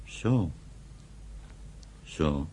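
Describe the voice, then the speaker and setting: An actor playing a principled, peace-minded man in a radio play, speaking in a measured, deliberate, reasoning way. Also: extremely low pitch, expressive, warm tone, a little hiss or hum, exaggerated voice, low-quality recording. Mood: reserved. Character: thoughtful, principled older brother, peace-minded land buyer. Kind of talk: Dutch radio play acting.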